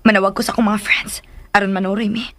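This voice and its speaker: An actress playing a wistful young daughter who sighs and thinks aloud in a Philippine radio drama. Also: female voice, medium pitch, level tone, fast talker, loud music behind the voice, lightly acted. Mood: wistful, restless. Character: wistful young daughter missing her mother.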